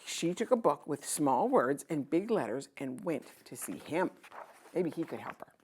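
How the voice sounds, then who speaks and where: Expressive woman, low-pitched storyteller. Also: moderate pace, husky. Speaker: children's storyteller. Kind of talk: expressive storybook narration for children.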